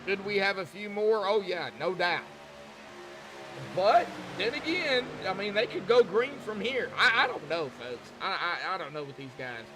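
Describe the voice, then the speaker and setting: High-pitched Southern US male race commentator. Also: lively intonation, moderate pace, background noise. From Arkansas, southern United States. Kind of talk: live sim racing commentary, folksy and conversational.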